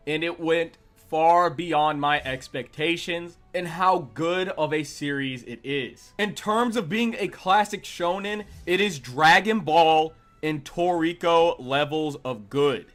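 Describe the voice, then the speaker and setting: Guy with a pretty high voice doing a scripted youtube review, earnest, like he's recommending something. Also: a little slow, hardly any pauses, a bit bright.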